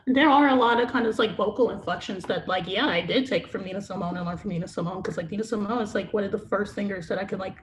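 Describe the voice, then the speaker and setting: A young adult singer with a naturally high-pitched voice, talking casually in an interview and filling every pause with "like" and "you know what I mean". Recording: echoey room, faint noise.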